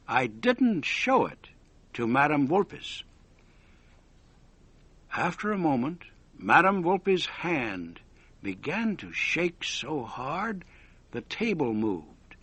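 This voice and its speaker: A man's voice: on the high side, fairly bright tone, breathy edge, no room sound. A man narrating a scripted story for English learners, with dramatic, careful phrasing.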